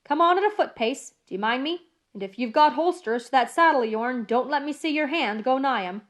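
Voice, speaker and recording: A gruff male guard of an old mail coach , acted out by a woman reader. He is loud, wary and threatening, with a rough storybook delivery that barks orders. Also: sits high, brisk, lightly acted. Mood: wary threat. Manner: loud, commanding, suspicious. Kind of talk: audiobook character voice.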